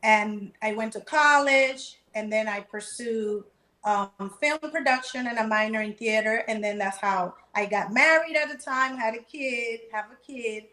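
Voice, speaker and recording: Lively woman guest in her 40s from Panama, very bright tone, roomy echo. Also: fairly high pitch, a little slow. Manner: lively, laughs easily. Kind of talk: casual interview chat.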